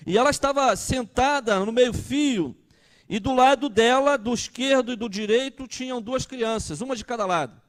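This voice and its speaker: High-voiced Brazilian pastor in his thirties. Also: moderate pace. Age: 30s. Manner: conversational, asks the congregation questions. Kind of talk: sermon.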